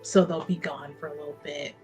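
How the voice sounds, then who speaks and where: A woman's voice: low-pitched, fairly even intonation, brisk, warm tone, soft background music. A woman playing a tabletop roleplaying game, casually thinking aloud about what her character will do. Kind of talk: casual in-game planning chat.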